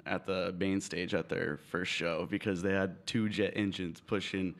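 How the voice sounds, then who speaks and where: A man's voice: low-pitched, little pitch movement, moderate pace. A man, a guest on a radio show, talking in a loose, laid-back way. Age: 30s. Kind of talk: casual on-air interview.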